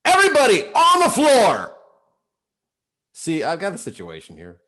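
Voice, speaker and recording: A man's voice: sits high, wildly swooping pitch, moderate pace, sharp tone, exaggerated voice. A man playing an offhand, distracted robber handling a phone call, in a play read over a video call. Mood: harried.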